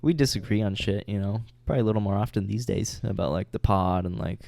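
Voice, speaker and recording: A man with a fairly low voice chats casually as a podcast co-host, relaxed and loose, peppering his talk with swearing and fillers. The recording is dry and close, with little room sound. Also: quick pace, creaky, dry recording. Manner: laid-back, swears freely, trails off with "like". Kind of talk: casual chat.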